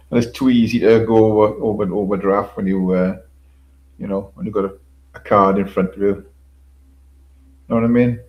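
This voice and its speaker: A man's voice: on the low side, fairly even intonation, moderate pace, long pauses, dull audio. An older man hosting a casual livestream, rambling, repeating himself, swearing casually and chuckling to his viewers. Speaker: livestream host. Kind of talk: casual solo livestream chat.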